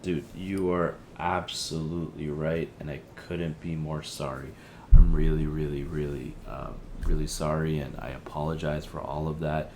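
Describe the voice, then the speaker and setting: A man playing an apologetic, evasive helpline listener in an audio drama, speaking in a hesitant, halting, conciliatory way. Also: a bass voice, flat delivery, darkish tone, a little hiss or hum. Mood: sheepish, apologetic. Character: Theo, apologetic, evasive male helpline listener with a troubled marriage. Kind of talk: audio drama, naturalistic phone conversation.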